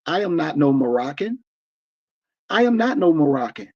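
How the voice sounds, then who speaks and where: American man in his forties, preachy, emphatic host with a slightly high voice, lo-fi. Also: big pitch swings, moderate pace, a little mellow. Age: 40s. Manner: preachy and emphatic, many restarts. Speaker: discussion host. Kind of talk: livestream discussion. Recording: dull lo-fi stream audio.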